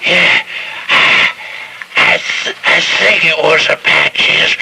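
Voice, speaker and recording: A stammering, gravelly dying settler. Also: male voice, fairly high pitch, fairly even intonation, extremely slow, full character voice. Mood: panicked. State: gasping, dying. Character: badly wounded male wagon-train survivor, terrified. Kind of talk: 1970s radio comedy spoof. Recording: faint hiss of 1970s radio aircheck on tape.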